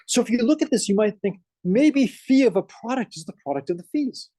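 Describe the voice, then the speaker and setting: A male professor with a Boston accent teaching a math class, energetic and full of questions for his students. His voice is mellow and dark, recorded dry and close with little room sound. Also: very high-pitched, brisk, no room sound. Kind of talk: classroom lecture. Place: lecture classroom.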